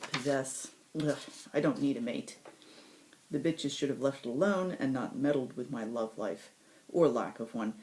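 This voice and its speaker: A sardonic, crude supernatural hitman as played by a woman tells his own story in a very low, soft-edged voice. The delivery swings widely in pitch, full of sarcastic asides and dramatic pauses, like a cynical audiobook narrator. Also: rough recording. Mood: lustful, then exasperated. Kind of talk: first-person audiobook-style story reading.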